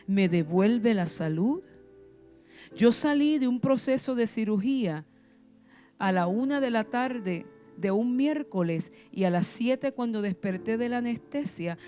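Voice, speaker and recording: A woman's voice: medium pitch, mellow. A woman preacher with a Puerto Rican accent, delivering a passionate sermon full of rhetorical questions and sudden emphasis. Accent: Puerto Rican Spanish. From Puerto Rico.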